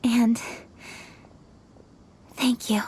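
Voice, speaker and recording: A woman's voice: on the high side, flat delivery, drawn-out words, darkish tone, slightly rough, heavily acted. A woman playing a refined, earnest young noblewoman in an anime game, composed, sincere and articulate. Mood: warm, sincere.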